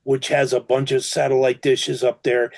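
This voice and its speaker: A man's voice: mid-range voice, little pitch movement, moderate pace, gravelly, dry recording. A man talking casually in a podcast discussion.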